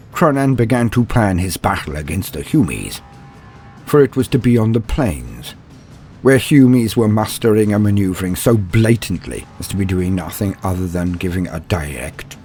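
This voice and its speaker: A man's voice: lowish voice, lively intonation, a little slow, a little mellow, faint music behind. A man narrating a comedic fantasy story in a mock-epic, dramatic storytelling manner.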